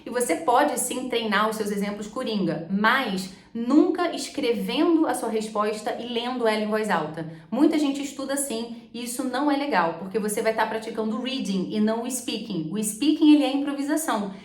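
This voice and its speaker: Echoey, high-voiced Brazilian woman teaching. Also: normal speed, hardly any pauses. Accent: Brazilian Portuguese. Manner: animated, checks in with listeners. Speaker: English teacher. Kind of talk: explaining to camera. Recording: echoey room.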